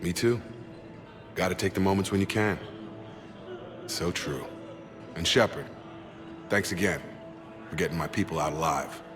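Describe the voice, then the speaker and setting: A man's voice: deep voice, unhurried, long pauses. A man playing a loyal, easygoing ex-soldier in a video game, talking earnestly and familiarly with a comrade. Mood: earnest, hopeful. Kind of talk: conversational game dialogue. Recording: reverberant, music behind.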